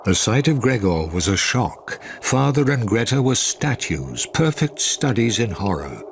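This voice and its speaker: A man narrating a radio drama as a wry, observant storyteller, in measured literary phrases. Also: lowish voice, very animated, vocal fry, heavily acted. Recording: noisy track with ambience.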